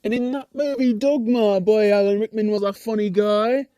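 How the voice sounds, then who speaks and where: This is a woman's take on an ordinary demon who is thoroughly at ease in hell. The voice is dark and relaxed, recorded very dry. The talk wanders casually in colloquial turns, with a mild teasing edge, as in a comic puppet show. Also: mid-range voice, normal speed, no echo, close to an everyday voice. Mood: wry, rambling. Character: laid-back, chatty, ordinary demon lounging in hell.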